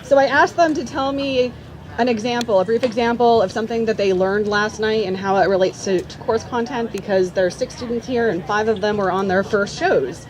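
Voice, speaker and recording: A woman speaking informally to a live audience as an academic host and teacher, with halting pauses and repeats. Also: on the high side, rough recording. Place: outdoors at a campground. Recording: outdoor public address with background noise. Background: faint outdoor ambient noise.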